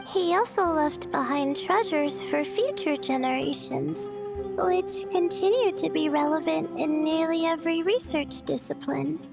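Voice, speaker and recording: A woman voicing a gentle, studious, hesitant young girl scholar in a video game, speaking thoughtfully. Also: very high-pitched, full character voice. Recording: music behind the voice.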